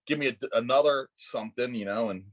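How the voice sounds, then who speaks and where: A man co-hosting a casual podcast, cracking quick jokes and jumping in over the others. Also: medium pitch. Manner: quick jokey asides, talks over others. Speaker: podcast co-host practicing as advice host.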